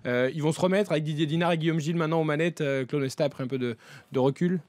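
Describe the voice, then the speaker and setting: A male radio host with a fairly high voice moves briskly through sports talk. He speaks fast and addresses his guests over a close, dry studio microphone. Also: darkish tone, no room sound. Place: radio studio round table. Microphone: close studio desk microphone.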